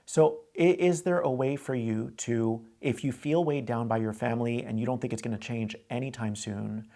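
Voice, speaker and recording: Gravelly man giving blunt advice. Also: medium pitch, fairly even intonation, moderate pace, warm tone. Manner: direct, coaching, repeats himself. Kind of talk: call-in advice show.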